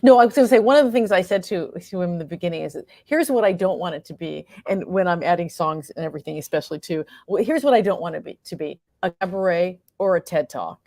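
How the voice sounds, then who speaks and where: A woman's voice: lowish voice, animated, quick pace, hardly any pauses, darkish tone, no echo, clean studio sound. A woman speaking as an interview guest about creative work, in a casual, effusive and appreciative conversational way. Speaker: playwright and performer, interview guest.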